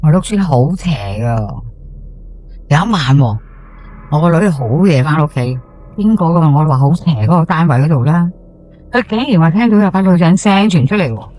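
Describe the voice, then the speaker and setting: A woman plays a housewife in her sixties from an old housing estate, with a Hong Kong accent and a slightly low voice. She is chatty and superstitious, spinning an audio-drama ghost tale like neighborhood gossip, full of drawn-out particles and exclamations. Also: expressive, warm tone. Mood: gossipy, spooked. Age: 60s. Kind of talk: first-person ghost story monologue for audio drama.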